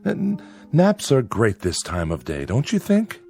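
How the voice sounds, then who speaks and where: Jumpy folksy american farmer father, mid pitch, overly agreeable, radio drama with light music. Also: huge pitch swings, a little slow, gravelly, mellow, heavily acted. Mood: weary, guarded. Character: nervous folksy farmer father placating his son.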